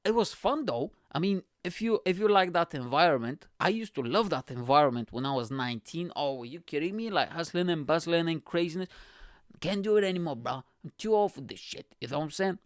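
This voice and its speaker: A man's voice: fairly high pitch, animated, fairly quick, dry recording, studio quality. Profane, rambling young man. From Bulgaria. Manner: profane, rambling, keeps asking "you know what I'm saying". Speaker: podcast host. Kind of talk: casual comedic monologue.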